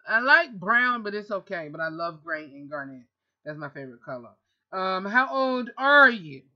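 A woman's voice: mid-range voice, animated, moderate pace, dull audio. A woman in her thirties answering viewer questions in a casual, rambling vlog from her bedroom, recorded on a close webcam. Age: 30s. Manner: chatty, rambling, self-correcting. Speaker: vlogger and radio host. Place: bedroom, indoors. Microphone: webcam at close range.

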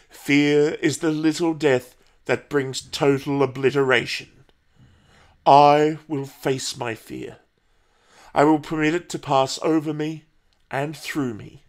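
Man as a proud, defiant noble boy, slow-paced. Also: fairly high pitch. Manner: intense, defiant.

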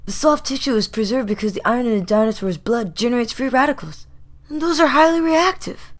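A woman's voice: on the high side, fairly quick, natural voice. A woman voicing a sensitive, bookish young boy, a little brother, in an audio drama, speaking earnestly. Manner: earnest, rambling about facts.

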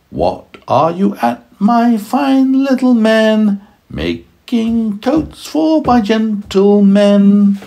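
Tiny cheeky mice, squeaky falsetto, very high, slow sing-song rhymes, storybook. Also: male voice, vocal fry, darkish tone, full character voice.

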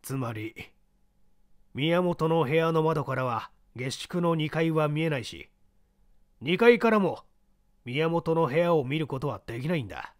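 A man voicing a composed older gentleman who explains patiently and a little condescendingly in a mystery reading. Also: on the high side, expressive, unhurried, dry recording, clean studio sound. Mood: lecturing.

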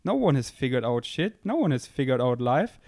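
A man's voice: medium pitch, very animated, fairly quick, darkish tone, no room sound, studio quality. Playful young man on a handheld mic. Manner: playful, self-mocking, thinks aloud. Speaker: podcast host. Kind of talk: casual rambling monologue. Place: indoor room, seated on a couch. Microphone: handheld microphone held close.

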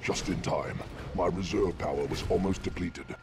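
Deep, gruff robot soldier. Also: male voice, moderate pace. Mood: gruff, eager. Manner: gruff, tough. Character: gruff, battle-hungry veteran male robot soldier. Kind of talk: video game action acting. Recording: loud music over voice. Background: loud music, battle sounds.

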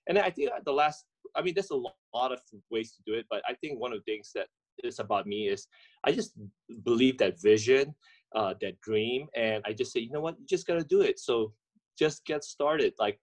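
A man, speaking as a guest over a video call, halting and full of fillers and restarts. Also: mid-range voice. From Indonesia. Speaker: guest, coffee entrepreneur. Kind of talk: conversational interview answers. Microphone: webcam or laptop mic on video call.

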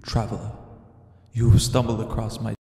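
Guy playing a menacing ghost, slow n drawn out, dark muffled tone, tons of hall echo. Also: lowish voice, monotone. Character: menacing ghost addressing a lost traveler. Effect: church hall reverb. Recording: very echoey hall reverb.

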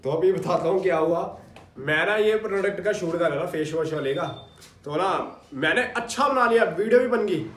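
A man's voice: sits high, lively intonation, normal speed, fairly bright tone. A young man in his twenties chatting to his phone camera at home as a vlogger, narrating his day, with a slightly sore throat. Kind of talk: casual vlog chat. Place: small room at home. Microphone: phone held at arm's length.

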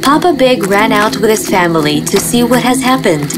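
A woman's voice: mid-range voice, expressive, moderate pace. A woman giving scripted narration of a fairy tale as the storyteller. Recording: music behind the voice.

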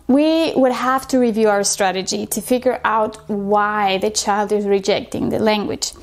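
Casting: woman, 30s, from Ecuador, slightly high-pitched voice, explanatory video host addressing viewers. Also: darkish tone. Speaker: host of a multilingual parenting channel. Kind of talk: explanatory question-and-answer to camera. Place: small indoor room, plain wall.

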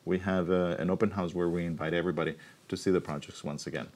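A deep-voiced man in his forties explains his work at an unhurried, thoughtful pace. He pauses and restarts as he thinks. He is recorded on a clip-on mic in a studio. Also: steady tone, darkish tone. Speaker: university researcher, keynote guest. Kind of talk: studio interview answers. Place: TV studio set. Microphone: lapel mic.

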